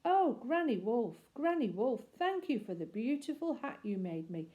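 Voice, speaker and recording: High, chirpy and eager, this polite little wolf boy, as a woman puts him on, sings out his words in a bright sing-song. His voice sits well above her own, with mellow tone underneath and lots of swoop in the melody. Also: big pitch swings, normal speed, lo-fi sound. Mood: cheerful.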